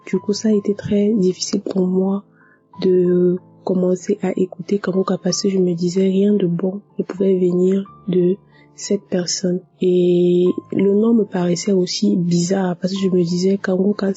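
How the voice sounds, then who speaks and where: A woman's voice: fairly low pitch, dark tone, husky, dull audio. A woman giving an earnest church testimony, repeating words for emphasis. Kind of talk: personal testimony.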